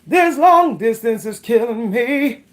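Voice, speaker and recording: A woman's voice: on the high side, lively intonation, slow pace, faint music behind. Chatty woman singing along on a livestream. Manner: chatty, laughs often, breaks into song, addresses viewers as y'all. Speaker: livestream host. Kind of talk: casual livestream chat with singing.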